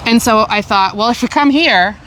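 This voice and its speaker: A woman's voice: on the high side, moderate pace, fairly bright tone, a little hiss or hum, low-quality recording. A middle-aged woman from Canada, hosting a casual podcast chat. She talks animatedly and repeats words while she searches for them. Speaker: podcast host.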